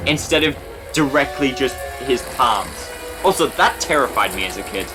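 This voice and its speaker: A man's voice: mid-range voice, animated, normal speed. A man giving casual, joking and sweary film commentary as co-host of a reaction show. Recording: loud film audio behind the voice. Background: film soundtrack with music.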